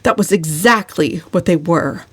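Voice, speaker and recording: A woman's voice: lowish voice, unhurried, husky, no room sound. A woman narrating an audiobook as a scripted dramatic reading.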